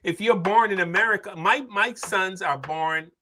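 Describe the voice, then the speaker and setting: A man's voice: high-pitched, normal speed, pushed and bright, slight background noise. A man from Barbados hosting a podcast, talking casually and asking questions, repeating "why isn't that enough?". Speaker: podcast host. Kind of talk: casual opinionated discussion.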